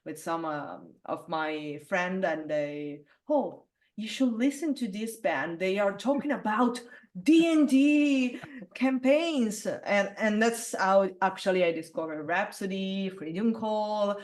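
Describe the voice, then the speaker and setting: A woman from Italy who sings metal, talking in a relaxed interview with a low alto voice. She speaks with many hesitant fillers and restarts. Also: slow pace. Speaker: metal singer, interview guest. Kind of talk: casual interview conversation.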